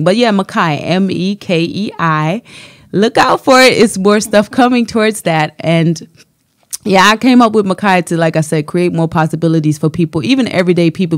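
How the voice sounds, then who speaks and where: A woman's voice: lowish voice, no echo, clean studio sound. A woman from Germany with a German accent, a life coach speaking earnestly and with faith about her business as a radio guest. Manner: earnest, motivational, faith-filled. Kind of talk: radio interview, personal testimony.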